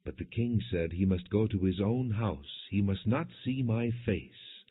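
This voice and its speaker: Low husky guy narrating bible audiobook, formal and measured, thin phone-like muffled sound. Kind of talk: scripted scripture narration, non-dramatized reading.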